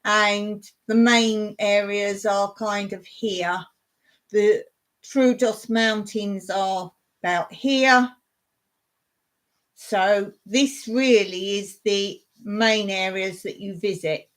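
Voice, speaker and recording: A woman's voice: medium pitch, steady tone, drawn-out words. A woman hosting a casual live stream, talking to viewers in a rambling, chatty way and laughing often.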